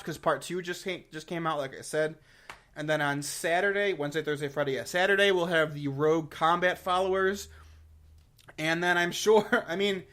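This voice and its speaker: A man's voice: fairly high pitch, fairly bright tone. A man giving casual, unscripted commentary to viewers, rambling informally and leaning hard on key words. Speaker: gaming YouTuber giving a review and channel updates.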